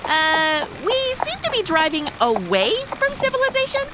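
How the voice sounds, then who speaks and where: A woman's voice: high-pitched, huge pitch swings, normal speed. A woman playing a brash, sarcastic, whiny modern American sidekick in a comedy audio drama, full of slang. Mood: dry mockery. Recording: noisy, rain-like hiss behind.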